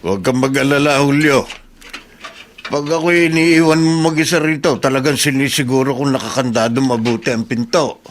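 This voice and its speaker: A man's voice: mid-range voice, steady tone, normal speed, a little hiss or hum, close to an everyday voice. A man playing an older, watchful auto-shop worker in a Filipino radio drama, plain-spoken in manner. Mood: dutiful.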